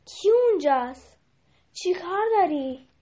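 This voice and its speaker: A woman voicing a sweet, gentle, lonely little doll in a children's storybook tale. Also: very high-pitched, lively intonation, pitched above the performer's own voice. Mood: timid, wary.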